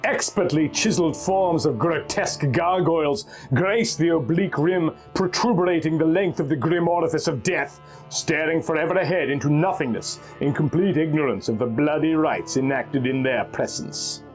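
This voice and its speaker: Higher-pitched man narrating theatrically over music. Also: normal speed. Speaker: narrator reading a story aloud. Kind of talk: dramatic scripted narration of pulp fantasy.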